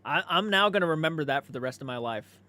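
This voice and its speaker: A man with a slightly high voice hosts a casual livestream. He talks in a laid-back, conversational way, reading out comments and replying to them, and swears freely as he goes. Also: lively intonation, quick pace, bright, forceful tone. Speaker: livestream host.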